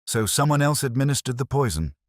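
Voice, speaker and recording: A man voicing a calm, methodical police inspector in a story reading, measured and quiet. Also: mid-range voice, dry recording, studio quality, pitched above the performer's own voice, natural voice.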